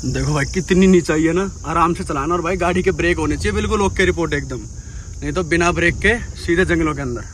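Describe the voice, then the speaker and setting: A young man, chatty and excited, narrating a travel vlog while driving mountain roads. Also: fairly high pitch, quick pace, a little hiss or hum. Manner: excited, chatty, calls viewers brother. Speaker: travel vlogger. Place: inside a car on mountain roads. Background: background music.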